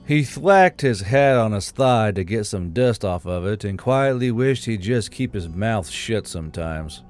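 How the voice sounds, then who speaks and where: A man reading scripted fiction as an audiobook narrator, in a measured storytelling manner. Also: on the low side, darkish tone.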